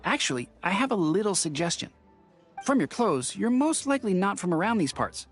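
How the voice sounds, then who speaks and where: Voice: medium pitch, big pitch swings, fairly quick, faint music behind. An actor playing a polite, affable innkeeper in an anime-style video game, courteous, chatty and helpful. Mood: friendly, helpful. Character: polite, affable innkeeper offering cooking advice.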